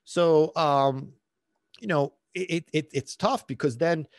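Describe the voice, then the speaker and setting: A man's voice: fairly high pitch, slow, dry recording, clean studio sound. Rambling man. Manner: rambling, many restarts and fillers. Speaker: podcast host, dog trainer. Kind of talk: casual personal storytelling. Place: small home room. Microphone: studio mic on boom, headphones.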